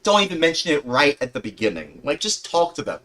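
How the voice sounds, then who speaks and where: Rambling young man, very animated pitch swings. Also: fairly quick. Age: mid-twenties. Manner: rambling, repeats and rephrases himself, earnest. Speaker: livestream host. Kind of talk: casual livestream chat.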